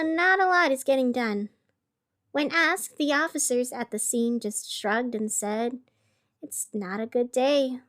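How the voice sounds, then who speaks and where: A woman playing a chatty, weary radio host of a gloomy fictional city, narrating in a conversational, dramatic, wry way. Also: fairly high pitch. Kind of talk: scripted fiction podcast narration as a radio broadcast.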